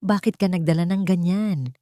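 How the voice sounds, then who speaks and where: A woman narrator plays a stern, wealthy father in an audio love drama. He is suspicious and anguished, questioning sharply. The voice sits fairly low for a woman and is recorded very dry. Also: moderate pace, dry recording, lightly acted. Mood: angry. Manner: sharp, interrogating.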